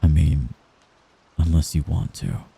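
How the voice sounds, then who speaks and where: Man acting very deep, murmuring doting mafia heir. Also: expressive, vocal fry, husky, full character voice. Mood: earnest, cautious. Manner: soft-spoken, halting, apologetic while giving orders. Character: young mafia heir, gentle, hesitant, doting, possessive friend. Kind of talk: intimate ASMR roleplay.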